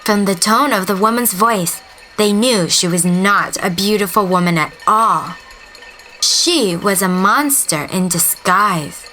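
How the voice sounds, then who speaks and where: A woman storyteller reading a scripted children's tale expressively, with deliberate dramatic emphasis. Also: medium pitch, pushed and bright, faint music behind.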